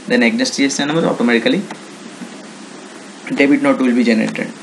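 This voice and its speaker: Male software demonstrator, Indian accent, creaky voice, halting unscripted tutorial delivery, slightly noisy screencast audio. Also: medium pitch, fairly even intonation. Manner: halting, non-native English phrasing with repeated check words.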